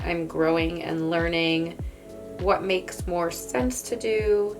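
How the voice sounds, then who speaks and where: A woman with a raspy, hoarse voice, chatting casually as she narrates her body painting. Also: on the low side. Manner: chatty, rambling, laughs between thoughts. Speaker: body paint artist and vlogger.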